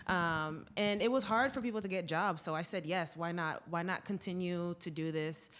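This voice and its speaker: A woman's voice: on the low side, moderate pace, a bit bright. A woman from Boston speaking as a podcast guest, telling her story in a casual, informal, conversational way. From Boston, United States. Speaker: podcast guest, advertising professional.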